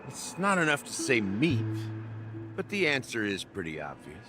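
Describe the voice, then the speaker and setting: A man playing a worldly, wry veteran with secrets in a video game, talking like an unhurried storyteller. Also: mid-range voice, wildly swooping pitch. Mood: knowing. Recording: music behind. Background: game music.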